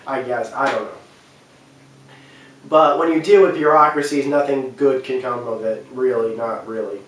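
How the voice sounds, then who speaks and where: A man with a mid-pitched voice recorded well back from the microphone in a very echoey room. He delivers opinionated, unscripted commentary, often doubling back and repeating himself as he rambles toward his point. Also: a bit bright, far from the mic. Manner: halting, repetitive, rambling delivery. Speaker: video commentator.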